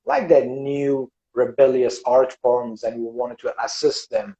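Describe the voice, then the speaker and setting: A man from Afghanistan hosting a casual podcast interview, speaking haltingly with many fillers and restarts. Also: mid-range voice, steady tone, warm tone, muffled recording. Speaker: podcast host. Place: Western Australia.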